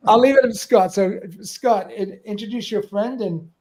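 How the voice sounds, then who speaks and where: A man's voice: high voice, unhurried, darkish tone. A man hosting a livestream, cheerfully passing the word to his guests. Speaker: livestream host. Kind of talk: casual chat.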